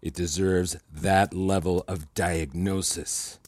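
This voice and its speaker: A man's voice: deep voice, fairly even intonation, darkish tone, no echo. Condescending, manipulative male therapist. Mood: cold, controlling. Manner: patronizing, deliberate, needling. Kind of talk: fiction podcast acting.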